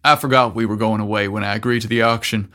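A man voicing a casual, easygoing teenage quarterback in an audio story, relaxed and matter-of-fact. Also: lowish voice, fast, pitched below the performer's own voice.

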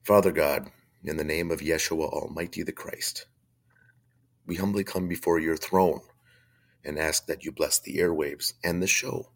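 Hoarse low-voiced male radio host. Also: steady tone, a little slow. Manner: blunt, opinionated, conversational. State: hoarse from a chest cold. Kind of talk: faith-based talk radio commentary.

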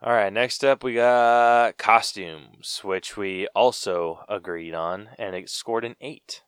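A man co-hosting a podcast and chatting casually about a movie, relaxed and full of fillers. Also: fairly low pitch, takes it slowly, smooth, no echo, clean studio sound. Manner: relaxed, with frequent fillers and mild swearing. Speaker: podcast co-host. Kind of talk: casual movie review chat.

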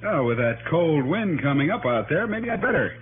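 A man's voice: on the high side, big pitch swings, moderate pace. An actor in an old radio drama playing an evasive, stubborn stepfather and fisherman, hedging as he talks. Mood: guarded, casual. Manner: hesitant, hedging. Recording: tinny, narrow, faintly noisy old radio recording.

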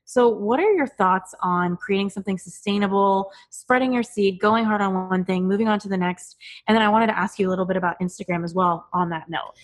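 A woman's voice: medium pitch, brisk, hardly any pauses. A woman host interviews a guest in a casual, curious way. She tells stories from her own career and winds them into long, searching questions. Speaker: podcast host, career coach.

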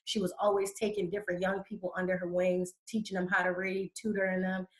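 A woman's voice: fairly low pitch, little pitch movement, quick pace, rough recording. A woman from Connecticut, a youth educator, answering interview questions in fluent, conversational storytelling.

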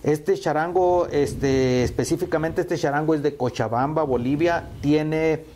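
A man's voice: fairly high pitch, a little slow, dark tone, a little hiss or hum. A Mexican man in his fifties, a musician answering interview questions in a hesitant way, full of fillers and repeated words. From Sonora, Mexico. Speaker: musician guest.

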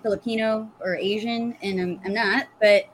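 A woman's voice: medium pitch, steady tone, moderate pace, low-quality recording. A woman with an American accent chatting casually on a podcast, often searching for her words. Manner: hesitant, searching for words, giggly. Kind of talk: casual panel chat.

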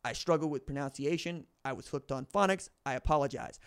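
A man's voice: on the high side, fairly quick, smooth, no room sound. Dry-voiced male podcast host reading aloud. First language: English. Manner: stumbles and corrects himself mid-sentence. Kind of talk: reading a book aloud with personal commentary.

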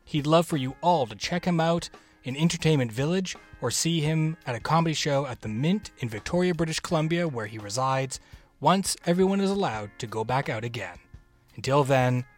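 A man's voice: on the high side, lively intonation, normal speed. Male podcast host over music. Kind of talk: scripted introduction.

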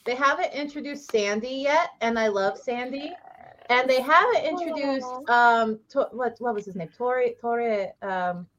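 A woman's voice: on the high side, normal speed, fairly bright tone, muffled recording. A woman co-hosting a casual book club chat, rambling through thoughts with many fillers and frequent laughter.

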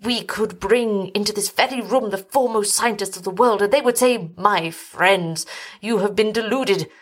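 An older gentleman acted by a woman reader speaks in formal, melodramatic phrases, weary and full of despair. The voice is somewhat husky, in the manner of a gothic storybook. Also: high voice, moderate pace. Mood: bitter. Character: anguished, despairing older gentleman, village husband haunted by horror.

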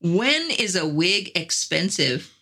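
Husky, lively-voiced woman co-host. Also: on the low side, takes it slowly. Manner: playful, tells corny jokes. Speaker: podcast co-host. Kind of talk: casual chat.

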